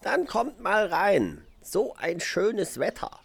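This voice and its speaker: An actor playing a kindly, jovial old gardener in a radio play, with a creaky, aged voice and a gently teasing, avuncular manner. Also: male voice, high-pitched, very animated, slow, heavily acted. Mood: jovial, welcoming. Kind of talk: German radio-play acting.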